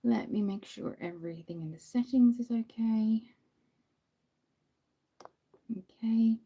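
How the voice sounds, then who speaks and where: A young Irish woman from Dublin chatting casually on a livestream while doing her makeup, greeting viewers by name and filling pauses with frequent ums. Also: a little high, dark tone, slightly rough. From Ireland.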